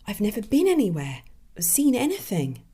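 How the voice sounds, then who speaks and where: A woman's voice: medium pitch, huge pitch swings, normal speed, dark tone, husky, pitched above the performer's own voice. A woman voicing a young dead woman in a story reading, self-pitying and indignant, protesting plaintively. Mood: plaintive, defensive. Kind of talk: dramatic short story reading.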